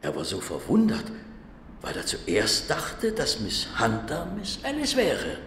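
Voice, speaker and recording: An actor playing a detective's puzzled, impatient gentleman companion in a German radio play, hesitant and emphatic. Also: mid-range voice, huge pitch swings, a little slow, recorded from a distance. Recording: roomy, off-mic, faint noise.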